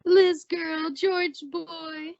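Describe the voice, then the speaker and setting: Woman playing high-voiced, chummy sitcom gal pal. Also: little pitch movement, extremely slow, a little mellow, full character voice. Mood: hearty. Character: wry, chummy, gossipy female friend of the housewife. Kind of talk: 1940s radio sitcom acting. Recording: muffled old-time radio style recording.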